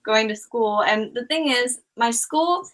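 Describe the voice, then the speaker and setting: A woman's voice: on the high side, a little slow. A young woman who grew up in Thailand, chatting casually as an interview guest, with a rambling manner full of "like" and "um". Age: young adult. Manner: relaxed, rambling, many "like" and "um" fillers. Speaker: guest, small YouTuber.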